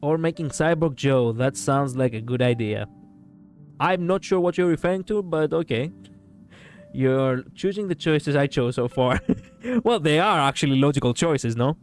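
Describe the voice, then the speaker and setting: A man with a raspy voice streaming a game, chatting casually in a rambling way, laughing often and swearing. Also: fairly high pitch, moderate pace, soft background music. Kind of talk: casual gaming stream chat.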